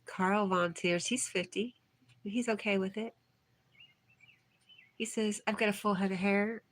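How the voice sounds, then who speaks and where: A woman's voice: mid-range voice, fairly even intonation, unhurried. A woman hosting a casual livestream, reading viewer comments aloud and replying in a chatty way. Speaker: livestream host.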